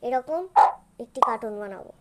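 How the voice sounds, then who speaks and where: A woman's voice: a little high, fairly quick, heavy background noise. A young girl informally walking through a school project, step by step. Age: child. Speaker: student presenting a school project. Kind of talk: informal screen demonstration.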